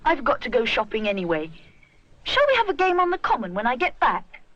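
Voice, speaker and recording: A woman's voice: on the high side, wildly swooping pitch, fairly quick, a bit bright, muffled recording, pitched above the performer's own voice. A woman voicing a kindly, polite little boy bear in a children's story, childlike. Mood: cheerful. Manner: polite, well-spoken, childlike.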